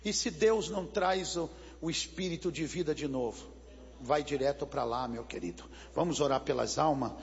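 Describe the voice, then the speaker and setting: A pastor, telling a dramatic testimony in a sermon. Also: male voice, a little high, moderate pace. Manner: dramatic storytelling with sound words. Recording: muffled broadcast with hall reverb and hum.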